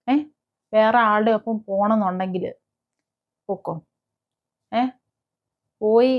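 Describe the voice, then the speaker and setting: A woman hosting a commentary video, talking casually and with strong opinions in a halting, emphatic way. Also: mid-range voice, lo-fi sound. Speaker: host of a gossip and commentary video channel.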